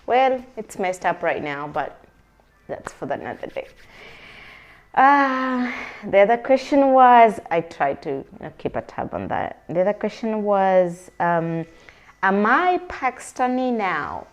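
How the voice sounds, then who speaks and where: A woman's voice: medium pitch, big pitch swings, measured pace, muffled recording. A woman from Uganda, chatting casually to camera as she answers viewer questions, rambling with many fillers. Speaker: vlogger.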